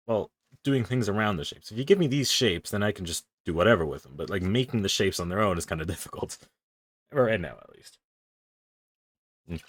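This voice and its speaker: A young man with a deep voice, casually rambling and swearing as he talks through his drawing on a stream. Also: husky. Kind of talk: casual commentary while drawing.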